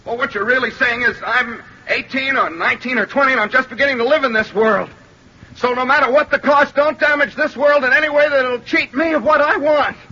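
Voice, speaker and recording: An actor playing an idealistic young college student in an old-time radio drama, earnest and impassioned. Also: male voice, extremely high pitch, pushed and bright, exaggerated voice. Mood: impassioned, defiant. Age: young adult. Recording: dull, noisy vintage radio recording.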